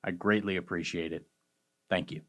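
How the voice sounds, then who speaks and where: A man's voice: sits low, fairly even intonation, normal speed, a bit bright. A man hosting a show and making an informal donation appeal in an earnest, conversational way. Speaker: theater company host making donation appeal.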